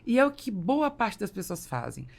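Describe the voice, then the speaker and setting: A woman's voice: medium pitch, very animated, moderate pace, vocal fry. A woman with a Brazilian accent hosting a conversational business podcast and interviewing a guest.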